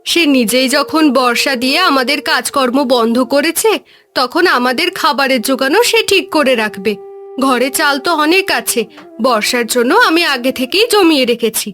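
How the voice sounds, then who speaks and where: A woman plays a patient village wife in a cartoon, her voice fairly high and steady. She talks in a comforting way. Soft music plays behind her. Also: moderate pace.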